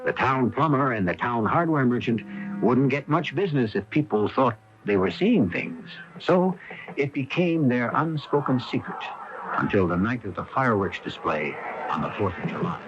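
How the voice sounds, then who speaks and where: An actor narrating a radio mystery in a measured, suspenseful storytelling style. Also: male voice, mid-range voice, expressive, background noise, natural voice. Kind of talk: dramatic scripted radio narration. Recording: dull old AM radio tape. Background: music.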